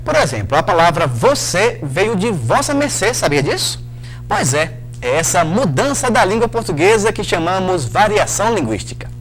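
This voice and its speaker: Male TV-lesson host; high, animated, upbeat direct address over faint music. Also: normal speed, rough recording. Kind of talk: scripted educational narration.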